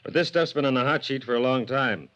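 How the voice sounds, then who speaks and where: A man playing a gruff, determined police sergeant in a 1950s radio drama, speaking in a terse, offhand way. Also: medium pitch, quick pace, fairly bright tone, natural voice. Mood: businesslike. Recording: dull vintage radio recording, faint noise.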